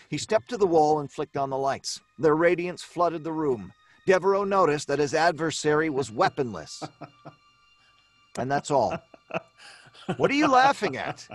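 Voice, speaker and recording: Man acting a frazzled, animated mystery writer. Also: fairly high pitch, no room sound, natural voice. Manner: stammering, blustering, exasperated. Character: frazzled, irritable male mystery writer under deadline. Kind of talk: old time radio drama acting.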